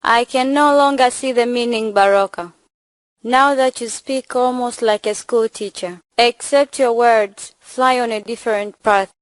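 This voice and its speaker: A woman's voice: fairly high pitch, steady tone, a little slow, dry recording, close to an everyday voice, rough recording. A young woman playing a naive, trusting village girl in a stage play, speaking plainly and earnestly. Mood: respectful, unsure. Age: young adult. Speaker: student actor. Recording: dry voice with faint music behind.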